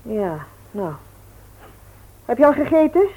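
A fretful, reproachful mother in a radio drama. Her voice is fairly high and swings up and down as she frets and chides, heard through a narrow, phone-like old recording. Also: very animated, slow pace, heavily acted. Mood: scolding. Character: worried, scolding middle-aged mother of a teenage son. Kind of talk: Dutch radio play acting.

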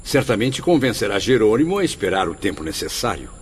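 Radio drama: grave judgemental spirit, very low, animated, declamatory, reverberant. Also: male voice, brisk. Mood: confident. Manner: emphatic, formal, declamatory. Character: stern, grave male spirit patient, judgemental yet trusting. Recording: long hall reverb, faint noise.

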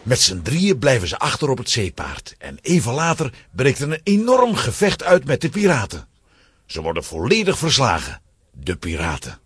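A man's voice: mid-range voice, huge pitch swings, normal speed, a bit bright, husky, dry recording. A man narrating a scripted children's story with dramatic suspense.

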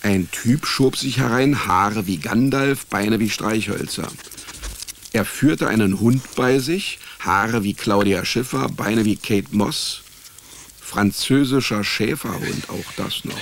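A world-weary private eye in a radio play, low gravelly voice, dry sarcastic narration. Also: male voice, slow pace, slight background noise. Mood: wry, weary. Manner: dry, ironic, laconic. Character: wry, world-weary male private detective, sarcastic loner. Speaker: narrator and lead actor. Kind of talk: radio drama first-person noir narration and dialogue.

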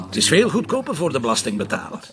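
A man's voice: mid-range voice, huge pitch swings, fast, over music. An actor with a Flemish accent playing a grumbling, sceptical police chief and harried father in an audio drama. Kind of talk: naturalistic radio drama dialogue.

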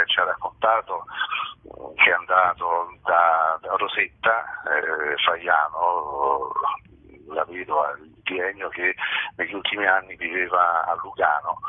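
A man, an actor being interviewed, explaining things in a halting, thoughtful way over the telephone. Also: sits low, slightly rough, no room sound. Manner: halting, thoughtful, repeats words while explaining. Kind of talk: spontaneous interview talk. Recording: tinny phone line into radio.

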